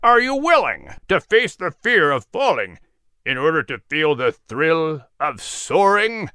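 A man voicing a wise, stern adult eagle with a slow, commanding, challenging manner in a storybook. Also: a little high, big pitch swings, sharp tone, clean tone, no echo, studio quality, full character voice. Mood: stern urging.

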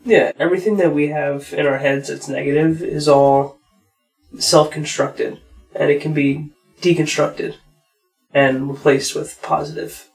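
Gravelly-voiced man, relaxed co-host. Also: fairly high pitch, a little slow, soft background music, rough recording. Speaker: podcast co-host. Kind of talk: casual conversation.

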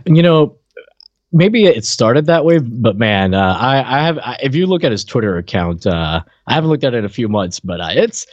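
A man with a medium-pitched voice chats in a relaxed, conversational podcast style. The sound is dry, with a slightly dull, lo-fi edge from the microphone. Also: moderate pace, no echo. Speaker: podcast co-host. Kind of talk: casual conversational chat.

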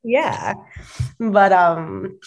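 A woman in her thirties co-hosting a casual podcast, her voice raspy and hoarse from allergies, chatty and full of "like". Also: medium pitch, very animated, extremely slow, lo-fi sound. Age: 30s. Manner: chatty, lots of "like", laughs often. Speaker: podcast co-host.

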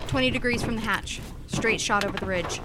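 A woman's voice: mid-range voice, a little fast, background noise, lightly acted. A woman playing a matter-of-fact, level-headed scientist in a sci-fi audio drama. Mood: focused.

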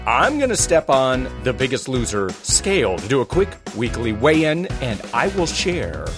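A male talk show host with a cold, giving upbeat, energetic tips on deals and savings. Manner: upbeat, energetic pitchman delivery. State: has a cold. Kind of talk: radio-style talk show.

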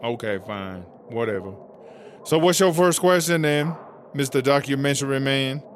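A man's voice: medium pitch, moderate pace, a little hiss or hum, close to an everyday voice. A man playing a brash, vain female inmate who bosses a cell block with sassy, slangy talk in a comedy audio drama. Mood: annoyed.

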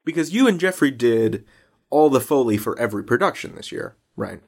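Guy hosting an interview, lots of vocal fry, mid-pitched, chatty questions. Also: very animated, brisk, darkish tone. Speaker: podcast host, interviewer. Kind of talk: conversational interview.

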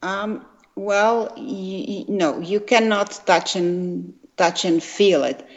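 A retired woman from Czechoslovakia with a Czech accent, explaining science carefully with frequent pauses and fillers. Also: mid-range voice. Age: older. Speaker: guest, retired quantum chemist. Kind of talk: interview answers. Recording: dull lo-fi sound.

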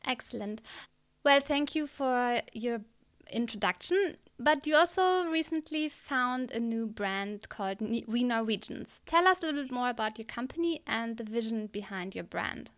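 A woman hosts a podcast interview over an online call, asking friendly questions. Her voice sits a little on the high side and stays easy and conversational. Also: lively intonation, moderate pace, fairly bright tone, clear, resonant tone. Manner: friendly, scripted intro then conversational questions. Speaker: podcast host.

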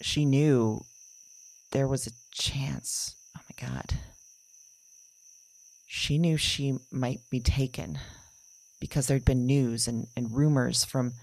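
A woman playing a haunted dreamer in an audio drama, haltingly recounting visions of past lives. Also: extremely low pitch, steady tone, darkish tone, exaggerated voice. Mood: troubled. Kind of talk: confessional audio drama monologue, halting recollection.

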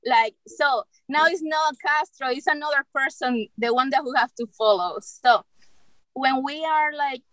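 Cuban-accented woman, native Spanish speaker, fairly high voice, dry close sound, thoughtful discussion with halting phrasing. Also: a bit bright, no echo, poor audio. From Cuba. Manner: halting, searching for words in non-native English. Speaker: discussion participant. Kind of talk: reflective group discussion.

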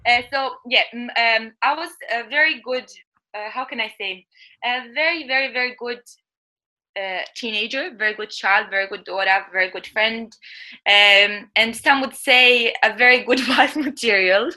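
A woman's voice: fairly high pitch, normal speed, bright, forceful tone. A woman in her thirties, not a native English speaker, telling her life story candidly in a casual interview, often laughing and searching for words. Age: 30s. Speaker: guest.

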